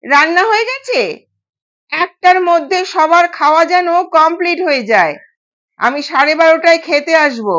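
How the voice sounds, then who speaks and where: Woman playing a bossy, high-pitched old mother-in-law. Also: highs cut off. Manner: sharp, commanding, scolding.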